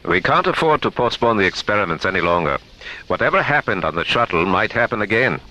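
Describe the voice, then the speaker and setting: A man playing a determined, authoritative research professor in a science-fiction radio drama, with firm, deliberate delivery. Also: fairly low pitch, very animated, pushed and bright, rough recording.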